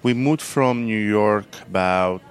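A man from southern Italy with an Italian accent, a chef telling his story in a casual interview, with many fillers and restarts. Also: lowish voice. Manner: halting, many fillers and restarts, loose grammar.

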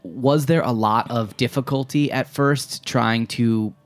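A man hosting a podcast, asking long, winding interview questions with hesitant pauses and fillers. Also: mid-range voice, faint music behind. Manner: hesitant, searching for words, many fillers. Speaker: podcast host.

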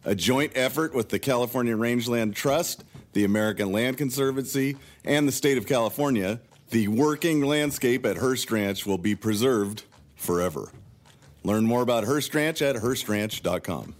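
A man reading a sponsor advertisement in an even, polished way. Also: medium pitch, moderate pace. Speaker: sponsor announcer. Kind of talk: read advertisement.

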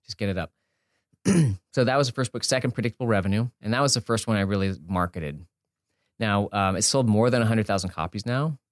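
Low-voiced man in casual, dry-sounding interview. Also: a little fast, dry recording. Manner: informal, thinks aloud, often breaks off and rephrases. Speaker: podcast guest, entrepreneur and author.

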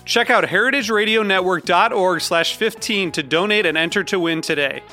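A man's voice: high-pitched, a little fast, pushed and bright. A man reading a scripted fundraising promo. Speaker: promo announcer. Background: music.

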